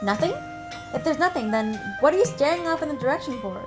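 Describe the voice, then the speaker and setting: A woman's voice: high voice, expressive, fast, music in the background, voice pushed up. A woman voicing a brash, curious companion of a monster fighter, challenging and nosy, in a hobby game story read-aloud. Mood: puzzled, pushy.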